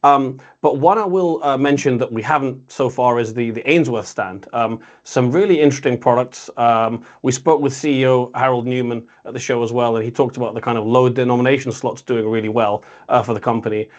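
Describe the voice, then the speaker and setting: A man's voice: medium pitch, a little fast, hardly any pauses. Man co-hosting with hesitant, rambling phrasing. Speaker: co-host reporting on an industry conference. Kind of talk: casual discussion recap.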